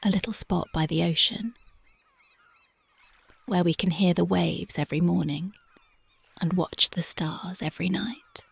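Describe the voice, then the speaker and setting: A tender young punk girlfriend, voiced by a woman, murmurs slow, dreamy sweet talk in a close ASMR roleplay. Her voice sits lowish and husky, with a gravelly fry that rasps through the hushed words. The sound is dry and close, with no room around it. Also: no room sound, heavily acted. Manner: dreamy, intimate, affectionate. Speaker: voice actress. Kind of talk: ASMR roleplay, soft-spoken and whispered. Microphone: close mic.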